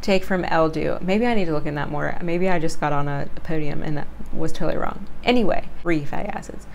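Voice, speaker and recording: A fairly low-pitched woman talks through a skincare review in a loose, rambling way. She trips over words and makes fun of herself, and her voice sounds worn and close to giving out. A faint hiss sits under the recording. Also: a little fast, gravelly. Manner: rambling, self-deprecating, stumbles over words. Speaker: beauty vlogger. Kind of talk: casual product review chat.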